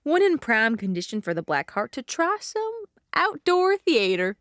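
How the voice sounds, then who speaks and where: Woman playing a high, theatrical, slow-talking starlet. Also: sing-song, fairly bright tone, no room sound, clean studio sound. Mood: grandly cheerful. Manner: affected, showy, drawn-out. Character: grandly cheerful, affected female theater starlet. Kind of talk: theatrical western audio drama acting.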